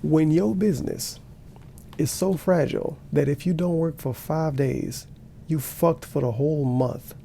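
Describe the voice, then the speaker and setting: A man's voice: fairly high pitch, a little slow, creaky, warm tone, a little hiss or hum. A man giving sarcastic, profane reaction commentary while watching a video. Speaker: commentary streamer.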